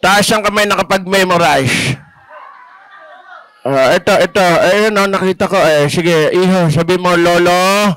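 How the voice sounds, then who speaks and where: Man voicing a slow, grumbling Filipino grandpa puppet. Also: high voice, a bit bright, smooth, poor audio. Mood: coaxing, touchy. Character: touchy but loving old grandfather puppet. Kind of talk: children's party puppet show.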